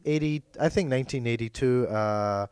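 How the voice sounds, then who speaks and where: A man with a hoarse, worn voice from being ill chats loosely as a podcast co-host, drifting and correcting himself as he goes. His pitch sits in an ordinary middle range. The sound is dry and close, with no room echo. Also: normal speed, darkish tone. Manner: meandering, hesitant, self-correcting. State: hoarse, losing his voice from illness. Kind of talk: casual chat.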